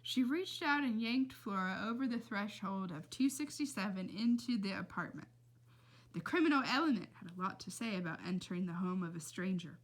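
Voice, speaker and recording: A woman's voice: mid-range voice, normal speed, low-quality recording. A woman reading a storybook aloud expressively, with dramatic emphasis and casual asides. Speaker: read-aloud reader.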